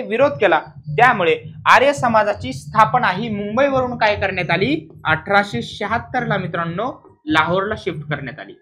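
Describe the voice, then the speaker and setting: A man's voice: high voice, bright, forceful tone, low-quality recording. A man teaching an exam revision class in a didactic way, asking questions, answering them himself and repeating key words.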